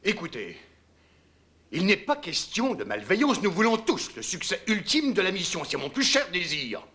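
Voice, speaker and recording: A man's voice: high-pitched, big pitch swings, normal speed, pushed and bright, full character voice. A man acting an impatient, combative space programme manager in a dubbed docudrama, arguing with sarcasm and pressing his point. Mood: firm. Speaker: meeting official challenging engineers.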